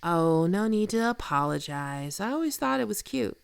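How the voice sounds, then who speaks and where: Woman acting a very creaky, teasing flirt. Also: medium pitch, sing-song, dry recording. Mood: flirtatious teasing. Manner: casual, teasing, unhurried. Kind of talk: intimate ASMR roleplay acting.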